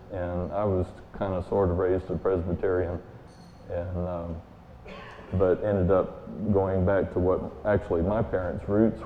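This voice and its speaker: Booming low-voiced man preaching in an echoey church. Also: a little hiss or hum. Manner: folksy, relaxed storytelling with many fillers. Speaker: guest speaker. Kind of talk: sermon with personal story. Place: church sanctuary. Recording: echoey hall, tinny phone-like sound.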